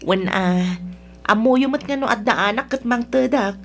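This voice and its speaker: A woman playing a patient, generous adult daughter in an Ilocano radio drama, gentle and apologetic. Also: fairly high pitch, measured pace, faint music behind.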